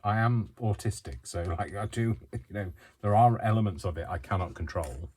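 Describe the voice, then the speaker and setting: A British man from the UK chatting through a crafting tutorial at home, rambling, self-deprecating and quick to giggle. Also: fairly low pitch, darkish tone, slightly rough. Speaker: craft vlogger.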